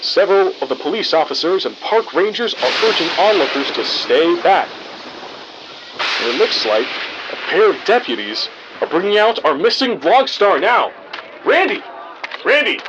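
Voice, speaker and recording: A man's voice: high voice, sing-song, normal speed. A man playing an eager, pushy TV news field reporter, delivering a live report in an audio drama. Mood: excited, urgent. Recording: dull sound with clear noise.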